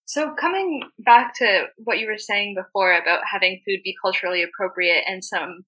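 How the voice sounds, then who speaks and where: Bright-voiced attentive interviewer. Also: medium pitch, normal speed, rough recording. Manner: attentive, frequent soft backchannels.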